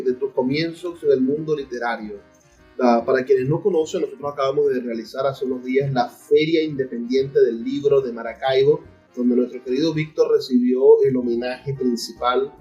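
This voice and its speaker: Entrevistador venezolano, grabación apagada y distante. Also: male voice, medium pitch, warm tone. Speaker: radio interviewer and host. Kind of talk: conversational literary interview. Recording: distant, muffled mic with music.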